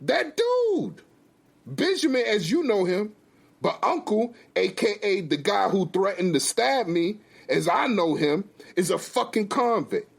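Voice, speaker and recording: A man's voice: a little high, animated, moderate pace, gravelly, slightly rough, pitched above the performer's own voice, heavily acted. A man performs an indignant, easily provoked husband in a dramatic story. The voice climbs well above normal talk and swings widely, exasperated and emphatic. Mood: furious, incredulous.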